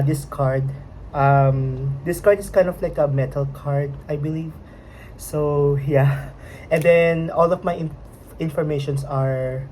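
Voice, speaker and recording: A woman's voice: sits low, mellow, a little hiss or hum, poor audio. A young woman with a Filipino accent, a native Filipino speaker, vlogging casually in English and hesitating often as she searches for words. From Philippines. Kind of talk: casual unboxing vlog. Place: home at night.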